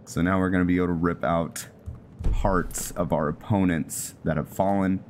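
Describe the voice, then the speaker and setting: A man's voice: sits low, fairly even intonation, moderate pace, slight background noise. A man giving casual gameplay commentary, rambling and hesitant as he thinks aloud. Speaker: gaming video host; roleplay story writer. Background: music.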